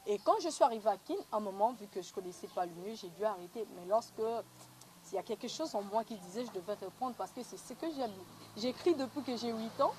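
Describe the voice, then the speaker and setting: A woman's voice: mid-range voice, animated, fast, a little mellow. A young woman with a Congolese accent, answering conversationally as an interviewed film actress, recorded on noisy location sound. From Republic of the Congo.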